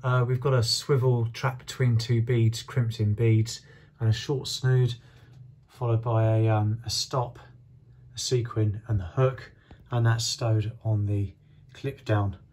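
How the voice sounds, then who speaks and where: A man's voice: mid-range voice, flat delivery, measured pace, darkish tone. A man, talking casually and instructively as a fishing vlogger. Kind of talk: casual instructional vlog talk. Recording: clear background ambient noise.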